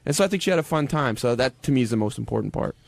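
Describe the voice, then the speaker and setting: A man in casual radio studio conversation, answering questions in a halting, defensive way with repeated restarts. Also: medium pitch, animated, vocal fry, no echo. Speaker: radio show regular, interviewed guest.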